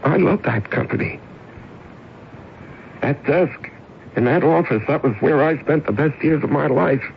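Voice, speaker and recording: An American actor playing a middle-aged office man in a radio drama, pleading and obsessive, with a halting, emphatic delivery. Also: fairly high pitch, creaky. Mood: stubborn, impassioned. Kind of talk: 1970s radio drama acting. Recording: muffled, hissy old radio recording.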